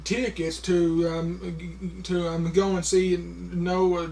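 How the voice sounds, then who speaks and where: A man's voice: high-pitched, level tone, vocal fry, darkish tone, low-quality recording. A man talking casually to a camera, with a heavy stutter, repeating sounds and stopping on words, with many fillers. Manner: slow, halting, many fillers. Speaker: vlogger.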